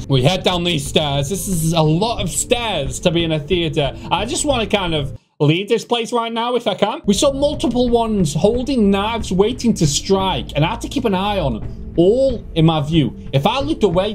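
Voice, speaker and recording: A man in his thirties giving panicky, excitable horror game commentary, often repeating his phrases. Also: high-pitched. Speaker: gaming video creator. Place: indoor home gaming room. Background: loud game music.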